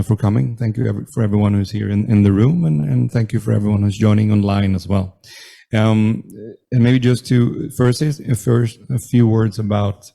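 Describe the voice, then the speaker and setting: A man moderating a panel event, giving formal opening remarks in a halting way, full of fillers and restarts. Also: lowish voice, vocal fry, warm tone. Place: event room with audience.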